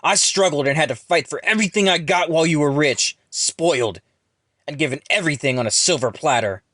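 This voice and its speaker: A male actor plays a resentful martial arts rival in an audio drama. His voice sits a little high and has very little room sound. He is aggressive and sneering, a hot-tempered man spoiling for a confrontation. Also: brisk, a bit bright, no echo, clean studio sound. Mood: bitter, aggravated. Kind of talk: dramatized audio story dialogue.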